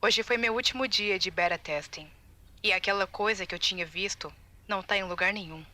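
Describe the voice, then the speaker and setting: Bright-voiced Brazilian woman, anxious game tester acted by a woman. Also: medium pitch, a little fast, no echo, lightly acted. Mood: uneasy, resolved. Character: anxious, determined female game tester leaving secret warning tapes. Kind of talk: recorded audio-log monologue, video game fan dub.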